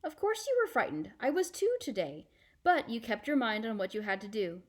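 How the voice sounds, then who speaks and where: A woman playing a calm, reassuring fisherman uncle in a storybook, with a measured, explanatory manner. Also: a little high.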